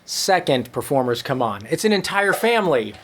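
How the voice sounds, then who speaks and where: A man reading a comic story aloud at a live show, with deadpan asides. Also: a little high, normal speed, a little hiss or hum. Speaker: comedy troupe storyteller reading own story. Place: live show before a laughing audience.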